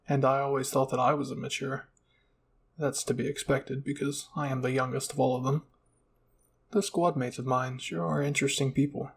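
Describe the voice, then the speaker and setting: A man's voice: mid-range voice, vocal fry, slight background noise. A man narrating a scripted first-person roleplay story.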